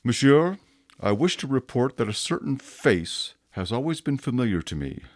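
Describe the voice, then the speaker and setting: A man's voice: medium pitch, dry recording, studio quality. An actor playing a rigid, dutiful male police inspector in a radio drama, cold and formal.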